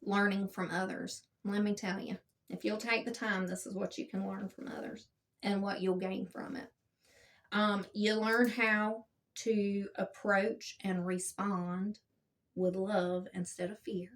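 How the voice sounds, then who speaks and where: A woman with a smooth, soothing voice giving a casual spiritual self-help talk. She speaks gently and unhurriedly, checking in with a frequent "okay?". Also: little pitch movement, measured pace, recorded from a distance. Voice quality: smooth, low-toned soothing voice. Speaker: spiritual teacher.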